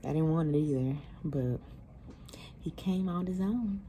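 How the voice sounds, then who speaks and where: Hushed, tired young woman. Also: deep voice, slight background noise. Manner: soft, hushed, unhurried. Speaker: vlogger. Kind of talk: quiet video diary.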